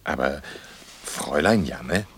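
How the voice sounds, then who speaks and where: A man's voice: a bass voice, animated, slow, fairly bright tone, a little hiss or hum, heavily acted. An actor playing a wry, teasing man who acts out the part of husband and father in an intimate radio drama. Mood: grumbling, teasing.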